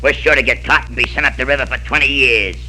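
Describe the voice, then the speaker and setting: A man's voice: mid-range voice, steady tone, fast talker, bright, forceful tone, poor audio. A man playing a glum, fretful, pessimistic gangster in an old radio comedy. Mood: glum, fearful. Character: gloomy, pessimistic gangster called Grumpy. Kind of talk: 1930s radio comedy acting.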